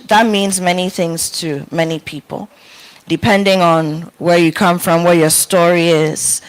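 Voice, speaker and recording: A woman's voice: lowish voice, rough recording. A woman moderating a panel discussion in front of a live audience, encouraging the guests.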